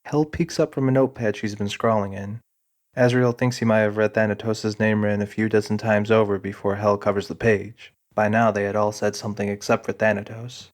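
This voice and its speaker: A man giving a scripted, deliberate narration of a fantasy audio drama. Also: on the low side, level tone.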